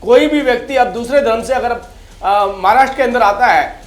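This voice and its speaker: A man's voice: very high-pitched, moderate pace. A man on a debate panel arguing heatedly, emphatic and repetitive, with rhetorical questions. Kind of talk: heated political debate argument. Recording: roomy, echoey sound.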